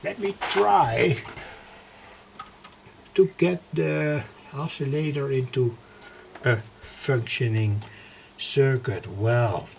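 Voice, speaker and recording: Slow gravelly man at his workbench. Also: mid-range voice, a little hiss or hum. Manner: rambling, self-correcting, thinking aloud. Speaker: radio hobbyist demonstrating equipment. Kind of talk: casual workbench demonstration.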